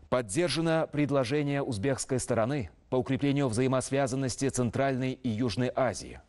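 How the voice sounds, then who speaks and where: A man in his thirties reading scripted news as a studio anchor, in a measured, official manner. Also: mid-range voice, darkish tone.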